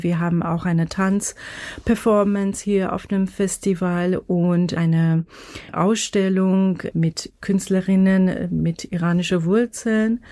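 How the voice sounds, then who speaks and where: Slow-speaking Iranian woman with a Persian accent. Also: medium pitch, dark tone, no room sound. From Iran. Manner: non-native German with irregular grammar and searching phrasing. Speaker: musician and festival director, interview guest. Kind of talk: radio interview conversation.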